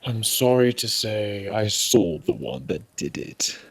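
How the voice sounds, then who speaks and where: Man voicing an eerie, slow-musing old wandmaker. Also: fairly low pitch, sing-song, slightly rough, exaggerated voice. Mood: musing, ominous. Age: older. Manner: musing, theatrical, drawn-out phrasing with sudden eager bursts. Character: eccentric, eerie old male wandmaker, soft-spoken. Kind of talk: dramatic audiobook reading with character voices.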